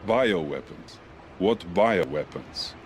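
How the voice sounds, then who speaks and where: A man's voice: low voice, expressive, slow, slight background noise. A man playing a sardonic, dismissive Russian captain in a game, speaking with a Russian accent and a mocking manner. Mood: mocking denial. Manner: sarcastic, mocking. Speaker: military captain. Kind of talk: cinematic game dialogue.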